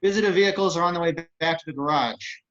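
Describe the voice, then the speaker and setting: A man's voice: a little high, quick pace, fairly bright tone, lo-fi sound, a little hiss or hum, close to an everyday voice. A man acting a businesslike control-room technician in a script table read.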